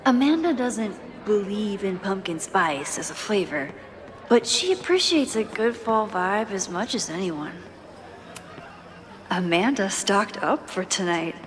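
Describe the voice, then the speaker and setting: A woman's voice: mid-range voice, a little hiss or hum, lightly acted. A woman playing a thoughtful, caring young woman in a video game, speaking in an understated, introspective way. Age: young adult. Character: thoughtful young woman, caring, quietly burdened photographer with powers. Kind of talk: video game acting, inner monologue and casual dialogue. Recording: music behind the voice.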